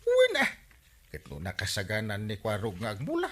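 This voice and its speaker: Scheming, excitable older villager, swooping voice. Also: male voice, on the low side, brisk, heavily acted. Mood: defensive. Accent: Ilocano. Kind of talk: radio comedy drama acting.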